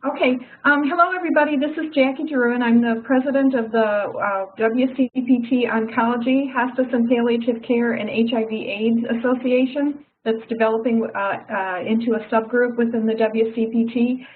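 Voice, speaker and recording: A woman hosting a webinar, giving a halting formal introduction with many uhs. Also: on the high side, fairly even intonation. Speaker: webinar host. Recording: tinny phone-line audio.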